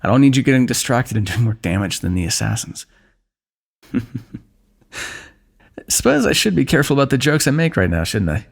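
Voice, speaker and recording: A man playing a weary, wry fantasy warrior in an ASMR roleplay, speaking in measured, formal phrases with dry teasing. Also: mid-range voice, very animated, gravelly. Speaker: ASMR voice actor. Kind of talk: ASMR fantasy roleplay, one-sided dialogue.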